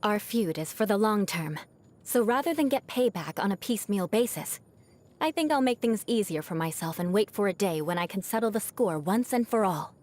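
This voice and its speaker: A woman's voice: mid-range voice, expressive, moderate pace, a little mellow, natural voice. A woman voicing a proud young aristocratic knight in a video game, with a haughty, formal, teasing manner and a vengeful streak that hides a caring side. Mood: playful menace. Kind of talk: scripted video game character voice lines.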